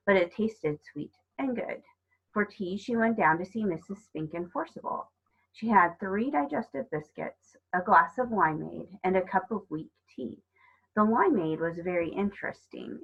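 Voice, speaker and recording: A woman reading a children's novel aloud as scripted narration, in an expressive storytelling manner. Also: lowish voice, husky, lo-fi sound. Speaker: storybook reader.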